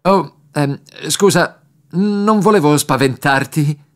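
A woman's voice: low voice, slow pace. A woman narrating a children's cartoon, expressively telling the story and speaking directly to the characters and young viewers. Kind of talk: animated scripted storytelling to children. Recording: music bed under the voice. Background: cartoon music.

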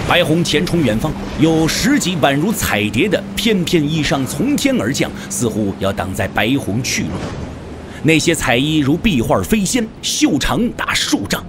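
Casting: male wuxia audiobook narrator, dramatic and very animated, somewhat high register. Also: moderate pace, noisy background. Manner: theatrical storytelling delivery. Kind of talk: dramatic scripted narration of a martial-arts novel.

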